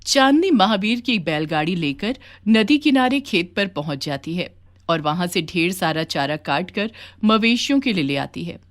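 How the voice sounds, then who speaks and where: A woman's voice: fairly low pitch, lively intonation, normal speed. A woman storyteller reading scripted narration for a children's moral tale.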